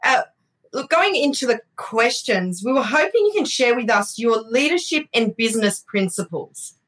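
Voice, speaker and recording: A woman interviewer puts her questions politely and courteously. Her fairly high voice moves up and down in a lively way. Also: moderate pace, low-quality recording.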